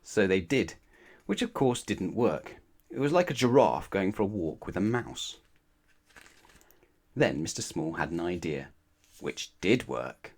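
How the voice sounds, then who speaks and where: A male storyteller reads a picture book aloud for children. His voice sits fairly low and moves through a wide, animated range as he narrates expressively. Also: unhurried, long pauses.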